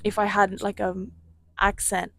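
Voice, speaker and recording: A woman's voice: medium pitch, unhurried, gravelly, no room sound. Young woman w a bit of an irish twang, dishing gossip on a podcast, dry close sound. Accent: slight Irish. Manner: animated storytelling, swears, acts out quotes. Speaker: podcast co-host. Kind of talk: casual gossip chat.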